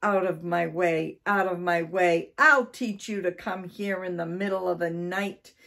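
A woman voicing a grumpy, blustering husband in a children's storybook, with gruff, emphatic delivery. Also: on the low side, steady tone, unhurried, a bit bright. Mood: enraged.

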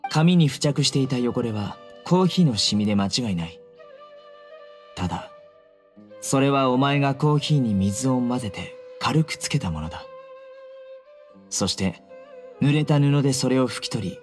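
A man playing a stern, calm young investigator in a game, speaking in a measured, commanding way. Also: mid-range voice, faint music behind, lightly acted. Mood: stern, accusing. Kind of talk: Japanese anime game voice acting.

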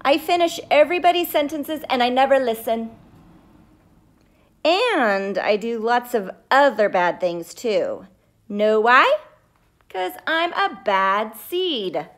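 A woman voicing a grumpy, defiant little sunflower seed in an emphatic, drawn-out storybook delivery. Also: on the high side, animated. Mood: brazen confessing.

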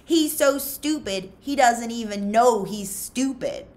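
A woman's voice: on the high side, slow, darkish tone, pitched above the performer's own voice. A woman voicing a mocking, scornful male camp counselor in a storybook, sneering and emphatic.

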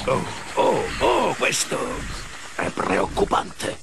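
A man voicing a bitter, captive evil mask spirit in an animated game, menacing, pompous and aggrieved. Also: fairly high pitch, extremely slow, vocal fry, loud music behind the voice, heavily acted. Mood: disgusted, vengeful. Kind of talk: animated game cutscene dub.

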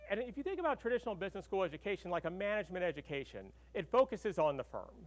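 Keynote speaker: middle-aged man, slightly high voice, loose and chatty, podium mic in a big hall. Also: big pitch swings, very fast. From Arkansas. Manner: conversational, many fillers and "right?" tags. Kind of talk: conference keynote lecture. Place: large conference hall with audience.

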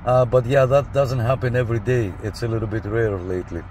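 A man's voice: medium pitch, steady tone, brisk. A man talking casually as a CB radio operator, recorded inside a vehicle. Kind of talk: casual radio chat to camera. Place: inside a parked minivan outdoors. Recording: faint engine and car noise. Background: faint vehicle and outdoor noise.

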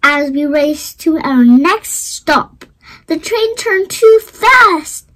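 Storybook reader, child, very high voice, unhurried deliberate phrasing, expressive read-aloud. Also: rough recording.

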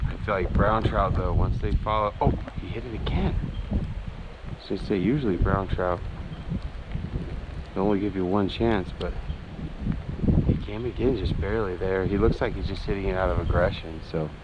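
Young man narrating by a rushing creek. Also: lowish voice. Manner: chatty, excitable running commentary. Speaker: fishing channel host. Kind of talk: casual vlog narration while fishing. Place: outdoors by a snowy mountain creek. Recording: clear outdoor stream noise behind. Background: rushing stream water.

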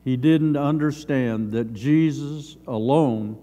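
An older man in his seventies giving a Sunday school Bible lesson, reading from notes in measured, halting short phrases. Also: mid-range voice, dark tone. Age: older, around 70s. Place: church sanctuary, at a lectern. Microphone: gooseneck lectern microphone. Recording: long hall reverb.

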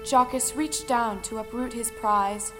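A woman narrating a folktale audio play in a dramatic, measured storytelling manner. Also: fairly high pitch, close to an everyday voice. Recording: music under the voice.

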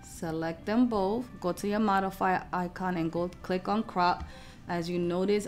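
A woman hosting a craft software tutorial, explaining each step in an informal, casual way. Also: on the low side.

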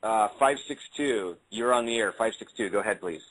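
A man hosting a call-in radio show, handing over to callers with quick, affirming interjections. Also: on the low side, a bit bright, poor audio. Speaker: radio talk show host.

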